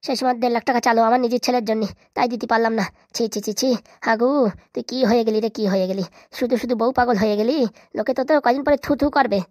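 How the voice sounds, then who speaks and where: A man acts out a troubled village husband in a comedy cartoon, full of regret. The voice is high and races along in a dry recording. He grumbles, rambles and scolds himself like a drinker with too many worries. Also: no room sound. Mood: worried, grumbling. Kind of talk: comedy cartoon dialect acting.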